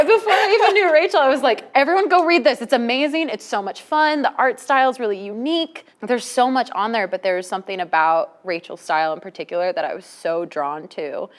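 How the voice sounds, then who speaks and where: A woman chatting casually as a guest creator, animated and acting out her own asides. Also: fairly high pitch. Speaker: guest, comic creator and streamer. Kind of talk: casual chat.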